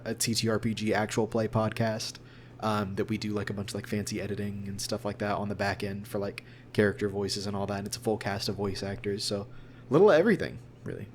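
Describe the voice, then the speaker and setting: A man's voice: lowish voice, slight background noise. A young man, a voice actor being interviewed, talking in a rambling, informal, conversational way. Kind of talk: casual interview chat.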